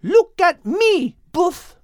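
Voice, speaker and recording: A man's voice: very high voice, sing-song, extremely slow, darkish tone, dry recording, studio quality, pitched above the performer's own voice, heavily acted. A man voicing a bossy female bird with an emphatic, commanding manner in an audiobook. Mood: insistent. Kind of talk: audiobook character acting.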